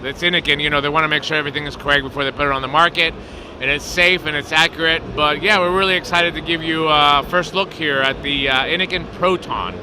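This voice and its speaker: A man with a Greek accent chatting casually as a co-host and industry representative, easygoing and quick to laugh. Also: a little high, fairly even intonation. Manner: easygoing, laughing, talks with a few slips. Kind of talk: casual chat. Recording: loud background noise.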